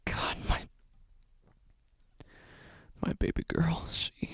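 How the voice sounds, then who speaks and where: An actor playing an anguished, exhausted father in a first-person horror audio drama, speaking in halting, breathy phrases that often sink toward a whisper. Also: fairly bright tone, no room sound. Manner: halting, broken phrases with long pauses. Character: anguished, exhausted, devoted father haunted by dread.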